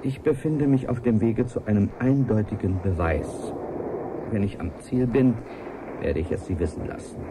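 Actor as an earnest scientist on noisy tape, low-voiced. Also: male voice, moderate pace, lightly acted. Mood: earnest, confiding. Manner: formal, courteous, rhetorical, emphatic. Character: earnest, driven biologist dictating a formal taped letter. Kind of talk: radio play monologue. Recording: noisy taped voice letter.

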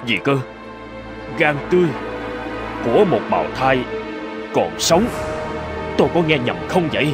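A man's voice: medium pitch, sing-song, measured pace, pauses often, over music. A man voicing a wealthy, worried father in a fairy-tale cartoon, formal and emphatic. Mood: shocked. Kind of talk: cartoon dubbing.

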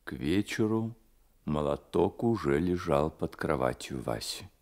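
A man's voice: extremely low pitch, animated, measured pace, vocal fry, a little mellow. A man reading literary narration as the storyteller of a radio play.

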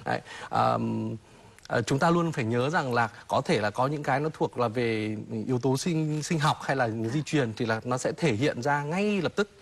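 A man in his thirties, an expert guest in a TV studio interview, explaining at a measured pace with many hesitation fillers, on a lapel mic. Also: medium pitch, creaky, breathy edge. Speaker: child psychology expert guest.

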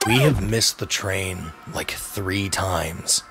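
Loud music runs behind a man acting an impatient young cartoon tough guy. The voice is deep and gruff, dragging slowly through curt grumbles. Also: full character voice. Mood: irritated.

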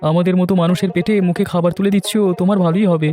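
A man acts out a friendly village diner for a cartoon. The voice is high for a man and soft-edged, rattling along very fast. The manner is effusive and full of flattery. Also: soft background music, close to an everyday voice. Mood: effusive, pleased. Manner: effusive, chatty. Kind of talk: cartoon dubbing.

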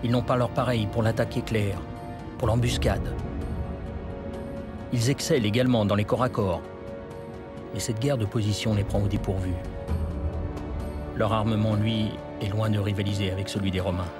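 Guy narrating history doc, lowish voice, measured n dramatic, loud music under it. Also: fairly even intonation. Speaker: documentary narrator. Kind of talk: scripted historical documentary narration.

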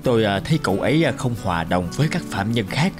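An actor playing a sober, considerate prison officer in a cartoon drama, speaking in a measured, formal way. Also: male voice, on the low side, very animated. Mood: sober, reassuring. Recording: music under the dialogue.

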